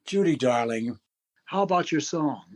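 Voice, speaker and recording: A man's voice: on the high side, expressive, normal speed. An actor playing a genial male master of ceremonies on a 1930s Hollywood radio show, with polished, good-natured patter. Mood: flustered, good-humored.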